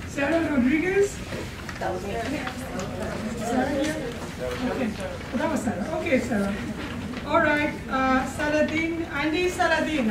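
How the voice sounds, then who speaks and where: A woman emceeing a college reading contest, calling contestants' names in a slow, chatty roll call. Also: high voice. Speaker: event emcee. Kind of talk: announcing contestants. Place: college lecture room. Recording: echoey room, far mic, loud hiss.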